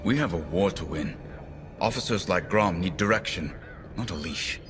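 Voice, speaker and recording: An actor playing a sober, seasoned middle-aged military pilot in a video game, measured and cautioning. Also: male voice, low-pitched, lively intonation, over music. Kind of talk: video game cutscene acting.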